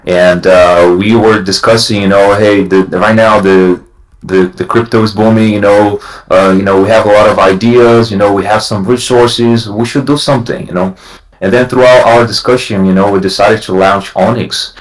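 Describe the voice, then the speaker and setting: A man from Brazil, a company CEO chatting as a guest on a livestream, halting and full of "you know". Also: on the low side, steady tone, reverberant, rough recording. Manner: halting, many restarts and "you know" fillers. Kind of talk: casual livestream chat.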